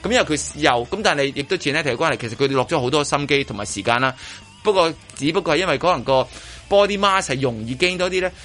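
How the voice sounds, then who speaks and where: A man's voice: a little high, expressive, fast, few pauses, a bit bright. A man with a Hong Kong Cantonese accent hosting casual radio chat, rephrasing himself emphatically as he goes. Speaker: radio show host.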